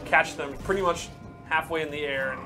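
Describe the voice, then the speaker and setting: A man's voice: fairly high pitch, a little fast, music in the background. A man casually explaining fighting game moves in an instructive, enthusiastic way.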